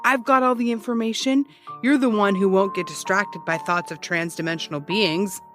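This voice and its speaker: An actress playing a brash, dogged ex-cop turned private detective in an audio drama, with a wry, insistent, teasing way of talking. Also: female voice, medium pitch, fairly even intonation, moderate pace, a bit bright, faint music behind, lightly acted. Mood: pleading, determined. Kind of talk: naturalistic audio drama dialogue.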